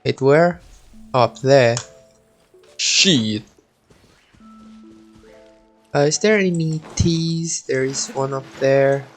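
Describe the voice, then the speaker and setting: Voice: medium pitch, takes it slowly, faint music behind. A video game streamer giving casual gameplay commentary, thinking aloud and muttering with the odd swear word.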